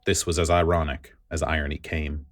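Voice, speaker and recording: A man's voice: a bass voice, level tone, brisk. A man reading scripted fiction aloud as a story narrator.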